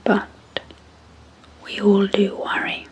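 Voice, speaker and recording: A woman speaking softly and breathily in a gentle, measured ASMR sleep hypnosis style, leaving long pauses between short phrases. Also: mid-range voice, monotone. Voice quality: soft, breathy, near-whispered. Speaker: psychologist hosting an ASMR channel. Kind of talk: soft-spoken ASMR sleep hypnosis introduction.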